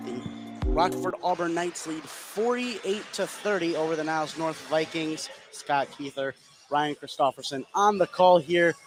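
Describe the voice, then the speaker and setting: A man's voice: fairly high pitch, measured pace, over music. A man calling live basketball play-by-play on the radio. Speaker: sports play-by-play announcer.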